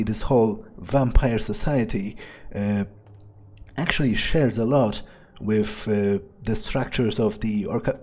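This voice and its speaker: A man role-plays a wary vampire thinking aloud through a video game. His mellow voice moves slowly and hesitantly, musing over what he finds, with faint music behind. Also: medium pitch, breathy edge. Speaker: gamer playing in character. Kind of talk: in-character game playthrough commentary, thinking aloud.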